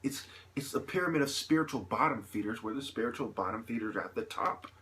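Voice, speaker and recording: A man delivering an impassioned, unscripted opinion monologue as a vlogger, with halting phrasing, repeated points and rhetorical questions. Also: medium pitch, expressive, fairly bright tone, a little hiss or hum.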